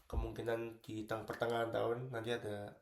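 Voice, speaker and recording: Very low-voiced young woman, echoey. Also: completely flat delivery, brisk, a little mellow, a little hiss or hum. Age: young adult. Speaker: student committee member presenting events. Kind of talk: casual explaining. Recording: very roomy, echoey indoor sound.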